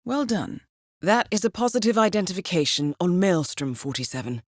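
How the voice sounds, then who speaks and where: A woman playing a cool, composed intelligence handler in a video game, giving mission briefings in a measured, formal, dryly witty manner. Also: fairly low pitch, very animated, dry recording, clean studio sound. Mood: composed, businesslike.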